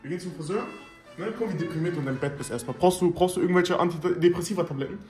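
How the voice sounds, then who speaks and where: A young man in a casual vlog, loud, playful and mocking toward his friends, filmed on a handheld phone. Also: fairly high pitch, very fast, soft background music. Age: young adult. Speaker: vlogger.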